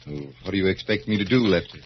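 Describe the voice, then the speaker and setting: An actor playing a calm, clinical psychiatrist in an old radio thriller, measured and formal. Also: male voice, low voice, natural voice. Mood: clinical, coaxing. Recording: muffled, noisy old radio recording.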